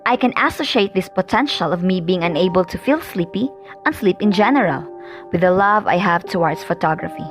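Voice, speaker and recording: A woman's voice: fairly low pitch, animated, brisk, faint music behind. A woman playing a chatty, sleepless girl. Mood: friendly. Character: sleepless, friendly girl photographer.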